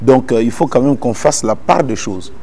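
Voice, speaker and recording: A middle-aged man born in Kinshasa, a gospel singer and pastor, calmly explaining events in a sit-down interview and retelling them with repeated phrases. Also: medium pitch, moderate pace. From born in Kinshasa, Congo. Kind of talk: calm explanatory interview answers. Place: indoor room with potted plants.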